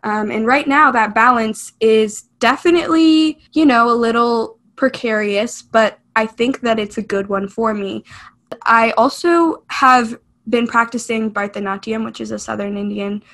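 A woman's voice: a little high, steady tone, normal speed, fairly bright tone. A young woman from California co-hosting a podcast, speaking in an earnest, reflective way with frequent "like" and "you know". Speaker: podcast co-host. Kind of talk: casual chat.

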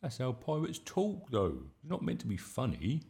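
A man's voice: mid-range voice, wildly swooping pitch, moderate pace, warm tone, voice pushed up. A scrappy young schoolboy from a children's storybook, played by a man. He is cheeky and stubborn, speaking in blunt, insistent phrases with plenty of up and down. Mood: defiant. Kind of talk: children's audiobook character voice.

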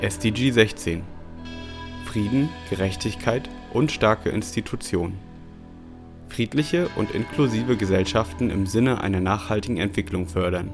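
A man giving formal scripted announcements between segments. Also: fairly low pitch. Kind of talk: scripted narration. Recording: music playing under the voice.

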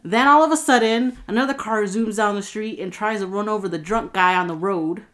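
A woman co-hosting a casual podcast, telling a wild dream in an animated way and laughing as she goes. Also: medium pitch, a little fast. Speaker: podcast co-host.

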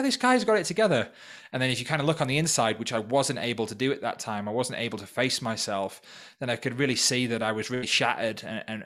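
A man's voice: mid-range voice, a bit bright. Give me a reflective man from North Wales in his thirties, speaking openly as a podcast guest. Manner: earnest, reflective, open about hard past. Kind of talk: personal story in a podcast interview. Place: temporary remote location.

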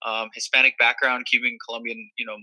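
Young male interview guest from Miami, very bright tone, earnest and reflective, lots of fillers. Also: mid-range voice, flat delivery, fast talker. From Miami, Florida. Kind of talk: casual interview talk.

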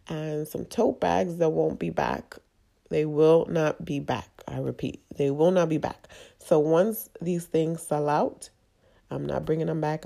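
Congested low-voiced woman podcast host. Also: creaky, mellow. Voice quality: nasal. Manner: halting, confessional, with frequent fillers. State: congested from a long cold. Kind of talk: candid personal monologue.

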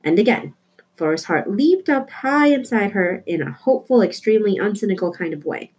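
A woman with a slightly husky voice reading a children's novel aloud as scripted narration. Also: medium pitch, lively intonation, brisk, a little mellow. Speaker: storybook reader.

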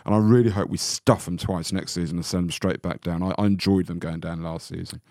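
A man's voice: low-pitched, steady tone, a little fast, gravelly, a little mellow, no echo, clean studio sound. A man co-hosting a casual fan podcast, opinionated and heated, with frequent restarts when he gets going. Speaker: podcast co-host.

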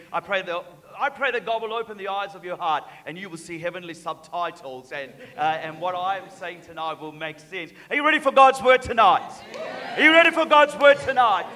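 Man, Sri Lankan Kiwi accent, high-pitched, stammering preacher, echoing church hall. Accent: Sri Lankan and New Zealand. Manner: stammering repeats, building to fervent shouts. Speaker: guest preacher. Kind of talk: sermon. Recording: reverberant hall.

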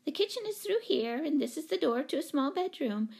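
A woman's voice: high voice, sing-song, fairly quick, gravelly, a little mellow, voice pushed up, full character voice. A woman voicing a fussy, anxious, chatty storybook lady who rambles apologetically and eagerly. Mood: nervous chatter.

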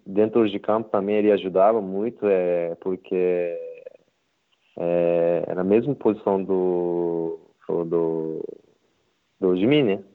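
Interviewed footballer: Japanese-accented man, low voice, halting non-native Portuguese over a thin phone connection. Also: fairly even intonation. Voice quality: halting, simple Portuguese with many fillers. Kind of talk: casual interview. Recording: tinny phone-line sound.

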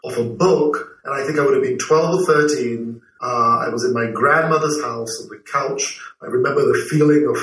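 A man in his forties from Malaysia and London, a guest telling stories in a reflective way with many pauses and fillers. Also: mid-range voice, a bit bright. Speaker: guest, TV producer and writer. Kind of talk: relaxed interview answers. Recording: roomy, distant from the mic.

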